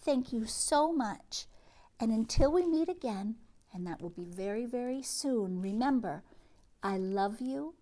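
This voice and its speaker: Female children's read-aloud host, higher-pitched and unhurried, gentle expressive storyteller who softens line endings, with music in the recording.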